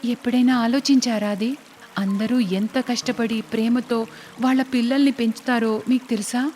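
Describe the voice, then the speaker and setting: A woman's voice: on the high side, steady tone, normal speed, a little mellow, lightly acted. A woman voicing a plucky young village vegetable seller in a cartoon story, speaking earnestly and respectfully. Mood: earnest, encouraging. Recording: long reverb, music behind.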